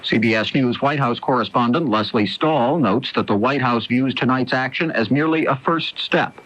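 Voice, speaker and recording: A man's voice: mid-range voice, moderate pace, fairly bright tone, no echo. Dry-sounding American radio newsman, dull vintage recording. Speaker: radio news anchor. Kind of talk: scripted news reading. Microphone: close dry studio broadcast mic.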